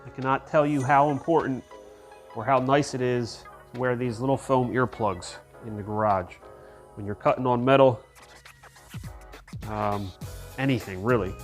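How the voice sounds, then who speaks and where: A congested man with a stuffy, nasal voice talks through a truck build in his home garage. His pitch sits in the middle, and his explanations ramble along casually, padded with fillers. Faint music plays underneath. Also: steady tone, normal speed, pauses often. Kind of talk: casual workshop vlog.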